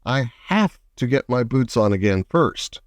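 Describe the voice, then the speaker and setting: A man's voice: medium pitch, sing-song, measured pace, dry recording, clean studio sound. Man playing a blustering, bossy storybook centipede. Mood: stubborn. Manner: loud, exclamatory, complaining.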